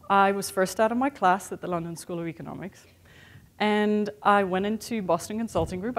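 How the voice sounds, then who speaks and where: A woman in her thirties with a mixed international accent, giving a candid, conversational keynote talk to an audience in a hotel conference room. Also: medium pitch. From born in the United States, raised in Europe and Asia. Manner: conversational and candid, with many "sort of" and "you know" fillers. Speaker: keynote speaker.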